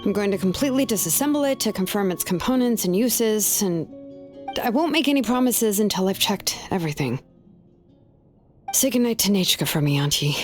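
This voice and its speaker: A woman voicing a sharp young female artisan in a video game, analytical and matter-of-fact. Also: mid-range voice, expressive, a little fast, soft background music, natural voice. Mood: firm. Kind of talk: scripted video game voice acting.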